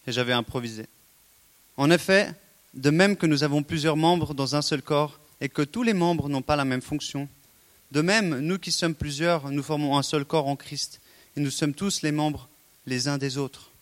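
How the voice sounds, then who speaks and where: A man's voice: fairly high pitch, steady tone, moderate pace. A man preaching a sermon, earnest and exhorting, with frequent rhetorical questions to the congregation.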